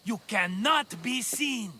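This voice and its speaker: An actor playing a glib, scheming, excitable merchant in a video game cutscene, with a theatrical, wheedling, grandiose manner. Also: male voice, very high voice, slow pace, a little hiss or hum, heavily acted. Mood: excited, persuasive.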